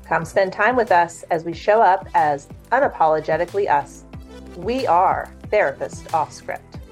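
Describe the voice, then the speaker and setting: A woman with a lowish voice reads a scripted show opening, slow and deliberate. Faint music plays underneath her. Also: lively intonation. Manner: measured, deliberate reading. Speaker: podcast intro voice. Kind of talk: scripted intro narration.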